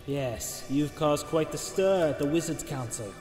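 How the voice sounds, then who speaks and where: A man's voice: on the high side, a little slow, darkish tone, lightly acted. A man playing a smug, suave, theatrical vampire schemer in a visual novel. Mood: scheming. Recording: echoey long reverb, music behind.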